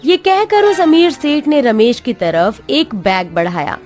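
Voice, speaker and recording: A woman narrating a cartoon moral story in an expressive, sing-song way. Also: high-pitched, a little slow, over music.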